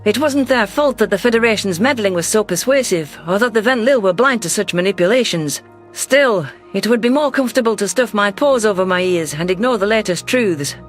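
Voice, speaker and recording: High-voiced man narrating science fiction. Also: fairly bright tone. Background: faint music.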